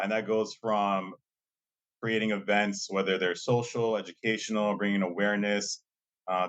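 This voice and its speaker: A man's voice: on the low side, a bit bright. A young man speaking as a guest in a casual interview, loose and talkative, often tagging his sentences with a questioning "right?" and using many fillers. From Lowell, Massachusetts, USA. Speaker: guest, assistant dean.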